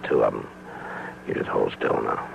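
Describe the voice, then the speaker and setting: An American man playing a stern, wary frontier marshal in an old-time radio western, speaking in a gruff, guarded, laconic way. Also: moderate pace, natural voice. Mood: urgent, commanding. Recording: muffled, noisy old radio broadcast recording.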